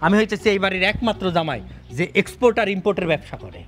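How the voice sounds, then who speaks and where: A man's voice: high-pitched, lively intonation, rapid-fire, a bit bright. A man acting a boastful, comic son-in-law in a family drama, bossy yet wheedling, with a Bangladeshi accent. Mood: pompous. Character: pompous, money-grubbing son-in-law, comic and cowardly.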